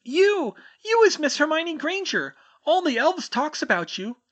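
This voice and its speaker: A frightened little female house-elf, speaking in a very high, quivering squeak. Her pitch leaps about, and she talks in broken, deferential grammar. A storybook figure, timid and anxious. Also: sing-song, a little slow, fairly bright tone, heavily acted. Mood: startled.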